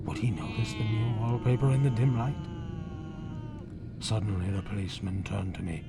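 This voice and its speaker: An actor playing a panicked, guilty husband in 1940s radio horror style, melodramatic, stammering and dropping into breathless whispers. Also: male voice, on the low side, steady tone, moderate pace, creaky, very noisy. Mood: tense, guarded. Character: panicked guilty husband confessing his crime. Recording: old 1940s radio broadcast, loud music behind.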